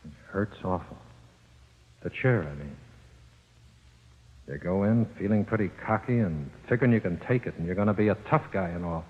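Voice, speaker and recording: An actor playing the eerie, taunting ghost of an executed convict with slangy speech, in 1940s radio style. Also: male voice, low voice, natural voice. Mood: pained, grim. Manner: slow, uneducated slangy speech. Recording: echoing, muffled old radio recording.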